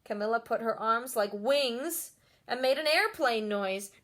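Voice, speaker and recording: A woman's voice: on the high side, animated, unhurried, fairly bright tone. A woman reading a picture book aloud expressively, with chatty asides addressed straight to young listeners. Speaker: storyteller reading to children.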